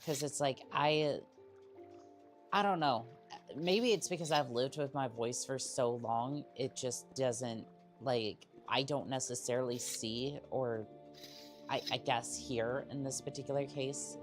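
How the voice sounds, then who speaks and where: A woman with an extremely low voice chats slowly with her stream viewers, husky and worn from days of coughing. She rambles and restarts her sentences, and faint game music plays softly underneath. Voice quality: husky, strained voice. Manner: rambling, halting, many fillers and restarts. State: sick with a cough. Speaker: VTuber streamer. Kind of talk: casual live-stream chat while gaming.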